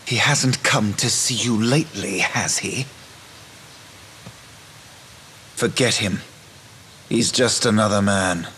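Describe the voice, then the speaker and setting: An actor playing a cold, protective young sorcerer twin brother who speaks in a cool, mocking, measured way in a game cutscene. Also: male voice, fairly low pitch, sharp tone, a little hiss or hum. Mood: dismissive. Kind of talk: video game cutscene dubbing. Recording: game audio with music behind.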